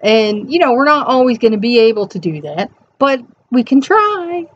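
A middle-aged woman with a high, soft voice, chatting reflectively to camera at home with rambling, conversational asides. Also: big pitch swings, normal speed. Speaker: host reading and commenting on an inspirational reflection. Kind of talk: casual reflective chat to camera with read-out passages. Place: small cluttered room at home. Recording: dull, lo-fi webcam sound.

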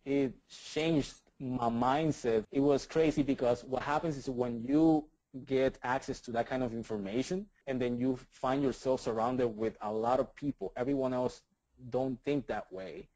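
A man's voice: mid-range voice, fairly even intonation, normal speed, mellow, dry recording, low-quality recording. A man in his thirties with a Puerto Rican accent, a guest talking eagerly in an interview, often repeating words and asking "right?". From Puerto Rico. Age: 30s. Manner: enthusiastic, repeats words, many "right?" tags. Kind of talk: casual interview talk.

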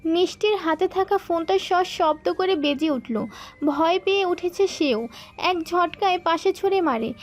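A woman reading a scripted romantic story as its narrator. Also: extremely high pitch.

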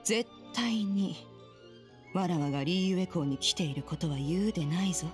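A woman's voice: fairly low pitch, moderate pace, faint music behind, natural voice. A woman voicing a proud, aloof ancient adept woman who speaks in an archaic, composed way. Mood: hushed. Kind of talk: Japanese game dub.

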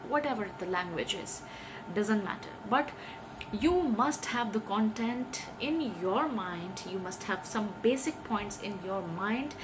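Woman, earnest, over room hiss. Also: fairly high pitch. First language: Urdu. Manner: earnest, hesitant, many filler sounds, gestures with hands. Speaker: English teaching vlogger. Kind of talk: talking to camera, giving tips. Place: small indoor room.

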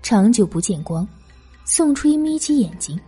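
A woman's voice: medium pitch, very animated, normal speed, dark tone, breathy edge, soft background music. A woman reading scripted novel narration as an audiobook narrator.

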